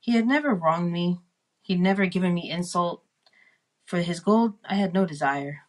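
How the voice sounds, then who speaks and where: A woman voicing a nervous, obsessed murderer from a horror storybook who insists on being sane, with deliberate, dramatic, halting delivery. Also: fairly low pitch, natural voice, low-quality recording. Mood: brooding, obsessed.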